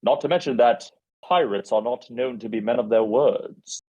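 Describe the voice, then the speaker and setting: A man voicing a smooth, scheming prince in an amateur table read, in measured, formal phrases. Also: mid-range voice, mellow, muffled recording, pitched below the performer's own voice, natural voice. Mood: smooth persuasion.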